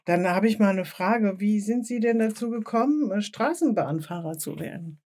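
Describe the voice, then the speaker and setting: A woman's voice: mid-range voice, expressive, moderate pace, darkish tone. A woman interviewing a podcast guest, encouraging him with frequent agreeing sounds and enthusiastic follow-up questions.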